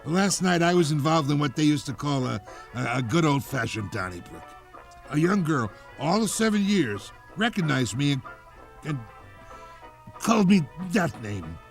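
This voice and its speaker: A man playing a pompous, aggrieved radio host with a hoarse voice, delivering a florid, halting comic monologue. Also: fairly high pitch, sing-song, soft background music, low-quality recording. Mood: indignant, wounded dignity. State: hoarse, coughing.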